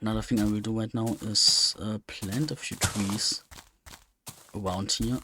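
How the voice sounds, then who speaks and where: A man's voice: fairly low pitch, fairly even intonation, a little slow, background noise. A man giving casual, unscripted gameplay commentary, hesitant and rambling as he thinks aloud. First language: German. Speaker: let's play host.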